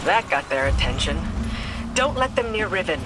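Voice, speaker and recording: A brisk field commander. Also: male voice, high-pitched, sing-song, very noisy. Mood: urgent. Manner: brisk, directive. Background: music.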